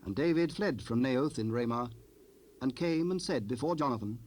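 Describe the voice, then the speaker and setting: A man's voice: mid-range voice, animated, moderate pace, a little mellow, a little hiss or hum. Male narrator, mid-range voice, solemn scripted Bible storytelling.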